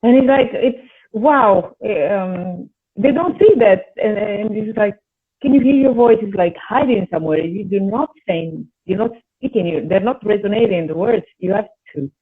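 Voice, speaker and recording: Husky Italian woman on a tinny line. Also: mid-range voice, animated, normal speed. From Italy. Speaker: guest singer and voice teacher. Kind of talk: casual conversation.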